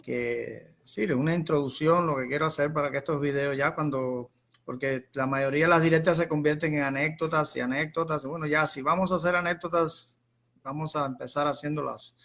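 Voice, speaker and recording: A man with a Cuban accent giving an informal political monologue, haltingly repeating words and phrases and putting rhetorical questions to the listener. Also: a little high, steady tone, creaky, fairly bright tone. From Cuba. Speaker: political commentator on a live stream.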